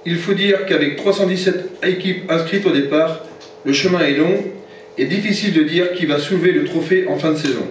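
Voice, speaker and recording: French club president, formal read-out speech, muffled, distant recording. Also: male voice, fairly high pitch, fairly quick, a little hiss or hum. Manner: formal, reads from notes, stumbles and corrects himself. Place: reception hall. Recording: very echoey hall from far off, dull sound.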